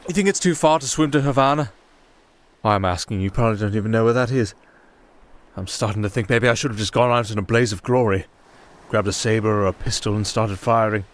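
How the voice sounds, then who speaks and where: A man's voice: fairly low pitch, brisk, lightly acted. A man acting a nervous, rambling young castaway musician with a sardonic streak, in a fantasy ASMR roleplay. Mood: wary, sardonic.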